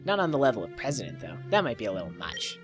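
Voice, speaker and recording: A man's voice: lowish voice, huge pitch swings, quick pace, music in the background, voice pushed down. A man voicing a modest, polite but ambitious schoolgirl in a visual novel.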